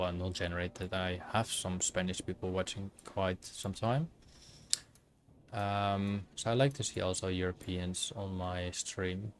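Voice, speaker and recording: A man's voice: low voice, a little hiss or hum. A Dutch man streaming a game and chatting with viewers, thinking aloud with many fillers and restarts. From Netherlands. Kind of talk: casual livestream commentary while gaming.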